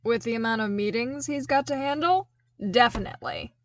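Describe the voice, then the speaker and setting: A woman's voice: fairly high pitch, normal speed, a little hiss or hum, close to an everyday voice. A woman voicing a frank, teasing, loyal male soldier in an audiobook, wry and familiar but deferential. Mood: agreeing. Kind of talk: audiobook character dialogue.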